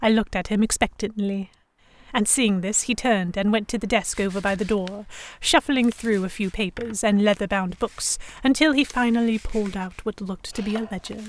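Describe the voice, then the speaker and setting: A woman playing a prim, anxious yet defiant young Victorian noblewoman, narrating a historical romance audio drama in a formal, expressive way. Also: medium pitch, normal speed, natural voice. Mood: tense narration.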